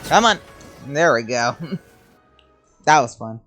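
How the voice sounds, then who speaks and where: A man's voice: mid-range voice, expressive, slow pace, fairly bright tone. A man chatting casually and informally as he reacts aloud to a game, sometimes swearing. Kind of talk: casual chat, gameplay commentary. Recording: game music behind the voice. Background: video game music.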